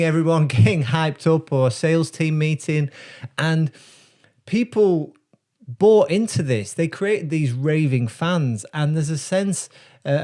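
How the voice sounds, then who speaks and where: Man, brand strategist, warm mellow tone, reflective delivery, pauses to think. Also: fairly high pitch. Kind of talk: casual explanatory talk to camera.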